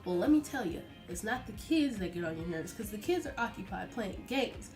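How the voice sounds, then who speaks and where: A young adult American woman telling a personal story casually to her viewers in an informal, animated way. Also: medium pitch, a little fast, faint music behind, poor audio. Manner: chatty, informal, animated retelling with acted-out quotes. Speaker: vlogger.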